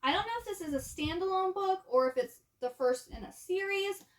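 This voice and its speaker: Female book vlogger, high-pitched, casual conversational delivery, informal and chatty. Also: lively intonation, brisk. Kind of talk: casual chat to camera.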